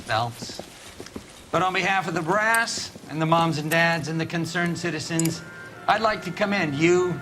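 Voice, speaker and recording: An actor playing a smooth, patronizing police lieutenant in a video game, pompous and glib. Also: male voice, on the high side. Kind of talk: video game cutscene acting. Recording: prominent music behind the voice.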